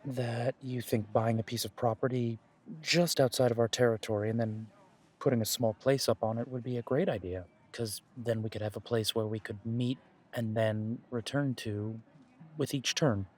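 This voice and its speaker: A man's voice: mid-range voice, steady tone, moderate pace, a little mellow, lightly acted. A man playing a caring, easygoing werewolf pack leader in an audio roleplay, talking in a casual, conversational way with trailing phrases. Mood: wry, calm.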